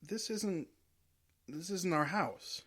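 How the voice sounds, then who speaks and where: A man's voice: high-pitched, lively intonation, slow, fairly bright tone, slightly rough, full character voice. A man playing a panicky, exasperated young husband in a horror audio drama, blunt and agitated in his talk. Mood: frightened. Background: background music.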